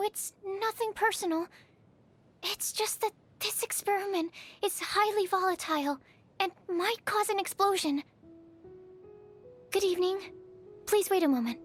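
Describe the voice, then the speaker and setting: A woman's voice: very high voice, fairly bright tone. A woman voicing a shy, bookish young female alchemist in a video game, speaking in a hesitant, polite and earnest way. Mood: panicked warning, then polite, preoccupied. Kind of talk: English video game character voice acting.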